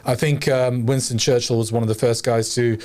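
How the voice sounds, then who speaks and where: A man's voice: medium pitch, fairly even intonation, brisk, darkish tone. A man co-hosting a discussion podcast, making his case in an earnest, argumentative way, thinking aloud with many fillers. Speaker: podcast co-host.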